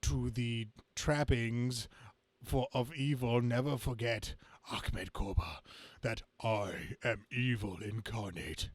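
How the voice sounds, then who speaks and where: A man voicing a grandiose, sneering evil villain in a dramatic comic-book reading, with a pompous, drawn-out delivery. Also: medium pitch, no echo, pitched below the performer's own voice. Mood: menacing, scornful.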